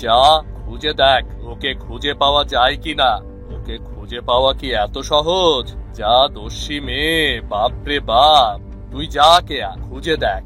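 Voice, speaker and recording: Man playing a bright, forceful village uncle. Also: mid-range voice, a little slow, faint music behind, lightly acted. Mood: chatty, exasperated. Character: boastful village farmer, a folksy uncle. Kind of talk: cartoon voice acting.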